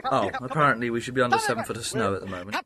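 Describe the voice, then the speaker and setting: A man playing an eccentric, blustering time traveler, theatrical and quick to bluff. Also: medium pitch, a loud crowd, natural voice. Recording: noisy amateur recording.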